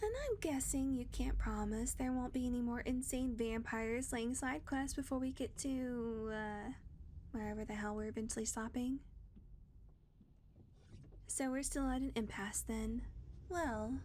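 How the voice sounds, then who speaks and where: A woman voicing a blunt, foul-mouthed female vampire in an audio roleplay, talking sarcastically and dropping into soft murmurs. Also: on the high side, steady tone, measured pace, slight background noise, lightly acted. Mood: wry.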